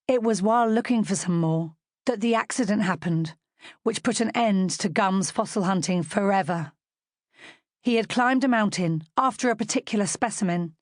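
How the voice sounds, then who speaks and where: A British woman narrates a storybook as a scripted audiobook. Her voice sits at a middling pitch, and the recording is dry, with very little room around it. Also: dry recording.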